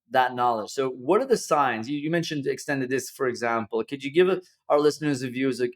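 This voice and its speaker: A man hosting an interview podcast. He asks long, winding questions with frequent restarts and recaps what his guest says. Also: medium pitch. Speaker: podcast host.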